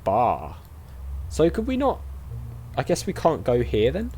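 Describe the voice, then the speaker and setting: Lively man doing let's play commentary. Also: medium pitch, a little slow, faint music behind. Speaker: gaming video host. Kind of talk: casual let's play commentary, reading dialogue options.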